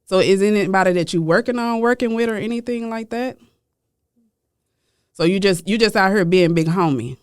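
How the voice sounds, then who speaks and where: A male host asks loose, casual questions and keeps agreeing with his guest with a quick yeah or right. His voice is a touch high and smooth. It is recorded close and dry. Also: dry recording. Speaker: podcast host. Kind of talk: casual podcast interview.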